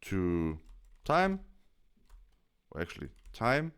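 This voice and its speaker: A man with a fairly low, clean and resonant voice narrates a software tutorial. He explains each step in turn, sometimes stopping to rephrase himself. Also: wildly swooping pitch, slow pace, clear, resonant tone. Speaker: tutorial presenter.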